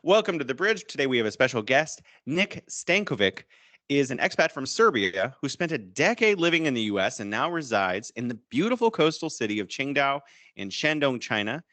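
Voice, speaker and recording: A man's voice: medium pitch, big pitch swings, brisk, fairly bright tone, no room sound. A man hosting a podcast interview, opening with a scripted welcome and asking his guest questions. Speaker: podcast host.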